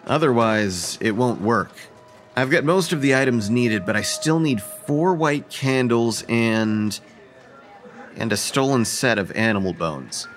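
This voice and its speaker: A man's voice: medium pitch, lively intonation, a little slow, lightly acted. A man playing an organized, matter-of-fact young college student in an audio horror drama. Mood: businesslike.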